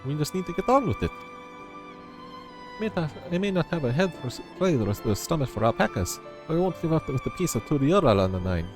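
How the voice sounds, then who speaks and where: A man voicing a plucky, determined young woman who seeks a throne, reading fantasy game dialogue aloud. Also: a little high. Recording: loud music under the voice.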